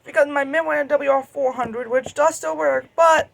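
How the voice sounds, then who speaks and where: A hobbyist talking casually and unscripted in a show-and-tell, rambling and halting, often self-correcting, in an amateur home recording. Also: high-pitched, steady tone, vocal fry, fairly bright tone. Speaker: hobbyist video creator.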